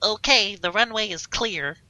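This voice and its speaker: A woman's voice: mid-range voice, measured pace, bright, forceful tone, rough recording. A woman voicing a busy, urgent elf who calls out instructions in a fan fiction read-aloud. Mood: announcing. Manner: calling out, urgent.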